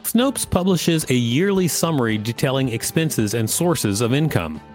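A man's voice: mid-range voice, big pitch swings, moderate pace. A man with a mid-range voice co-hosts a podcast. He moves between reading written material and casual commentary. Faint music plays behind him. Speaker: podcast co-host.

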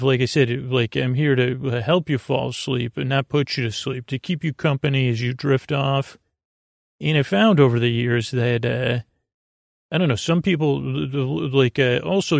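Creaky, rambling man soothing listeners toward sleep. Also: medium pitch, dry recording, clean studio sound. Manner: meandering, hesitant, goofy, self-interrupting. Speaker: podcast host. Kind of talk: rambling, soothing bedtime monologue.

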